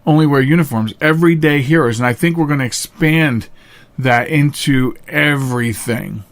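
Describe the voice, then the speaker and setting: A man hosting a podcast in a casual, unscripted monologue, earnest and plain-spoken, halting at times and repeating phrases for emphasis. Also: mid-range voice. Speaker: podcast host.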